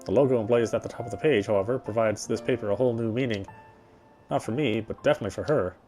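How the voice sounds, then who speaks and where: A man reading the first-person narration of a horror visual novel in a measured, brooding way. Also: fairly low pitch, mellow, soft background music. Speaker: reader.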